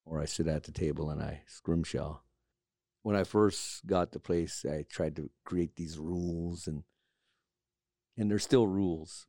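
Low gravelly man, reflective and halting. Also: mellow, dry recording, studio quality. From Alaska. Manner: reflective, halting with many fillers. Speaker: carver and studio owner. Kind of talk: documentary interview.

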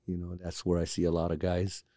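A man from Alaska, a carver and studio owner, speaking reflectively in a documentary interview, pausing often with fillers. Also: deep voice, monotone, dark tone, no echo. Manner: reflective, halting with many fillers.

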